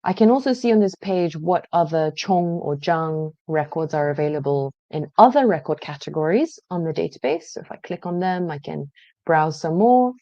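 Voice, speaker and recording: A woman presenting a genealogy database, explaining each step in a conversational way with frequent pauses. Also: sits low, lively intonation, dark tone, no echo, muffled recording. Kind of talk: screen-share database walkthrough.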